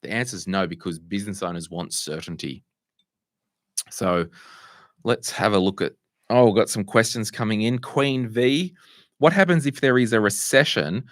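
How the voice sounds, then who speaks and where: A middle-aged Australian man with a croaky voice, hosting a casual Q&A and answering viewers' money questions with asides and restarts. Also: on the low side, animated, moderate pace, fairly bright tone, no room sound, studio quality. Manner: conversational, restarts and asides while fixing tech. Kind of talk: casual livestream Q&A.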